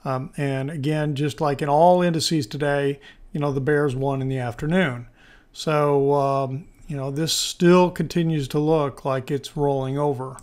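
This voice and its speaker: A man with a hoarse voice giving informal stock market commentary as host, thinking aloud in a halting, conversational way. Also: on the high side, fairly even intonation, a little mellow. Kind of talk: informal technical analysis commentary.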